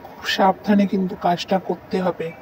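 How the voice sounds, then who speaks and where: An actor playing a scheming, greedy elder brother in an animated moral story, bossy and commanding. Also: mid-range voice, normal speed, natural voice, poor audio. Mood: sly, conspiring.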